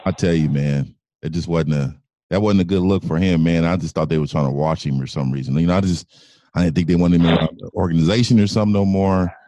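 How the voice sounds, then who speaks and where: A man's voice: sits low, steady tone, fast, mellow, no room sound. A man hosting a podcast interview, asking laid-back questions with slangy asides. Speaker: podcast host. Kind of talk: casual interview.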